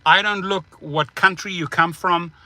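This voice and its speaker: A man's voice: high-pitched, a little slow, no echo. A man in his forties with a South African accent, ranting emphatically to his phone from a parked car as a vlog host. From United States. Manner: emphatic, animated, gestures while talking. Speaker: rideshare driver and channel host. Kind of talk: casual vlog rant to camera. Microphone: phone held at arm's length.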